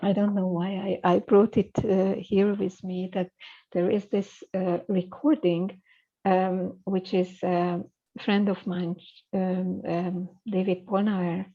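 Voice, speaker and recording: Scholarly woman guest, low and fry-edged, hesitant conversational delivery, muffled recording. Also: level tone, slow, mellow. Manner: hesitant, many fillers and restarts. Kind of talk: conversational interview.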